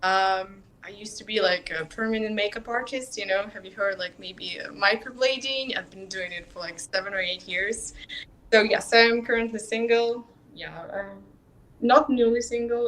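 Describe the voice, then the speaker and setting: A woman's voice: medium pitch, fairly bright tone, low-quality recording. A woman in her early thirties from Russia with a Russian accent, introducing herself casually as a talk show guest. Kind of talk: casual chat.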